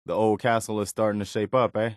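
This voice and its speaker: An actor playing an earnest, steadfast militia officer in a post-apocalyptic video game, speaking plainly and in a measured way with an American accent. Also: male voice, on the low side, flat delivery, no echo, studio quality, natural voice. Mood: relieved, hopeful. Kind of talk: video game companion voice lines.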